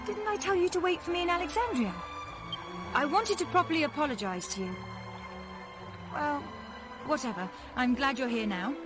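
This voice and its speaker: Young female adventurer, assertive, brisk, fairly high voice, video game, background music. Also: animated. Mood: confident, brisk. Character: bold, bossy young woman adventurer avenging her murdered brother.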